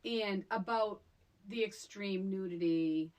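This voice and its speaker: A woman's voice: mid-range voice, takes it slowly, darkish tone, lo-fi sound. A middle-aged American woman co-hosting a casual film podcast, speaking in a wry, emphatic way. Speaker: podcast co-host.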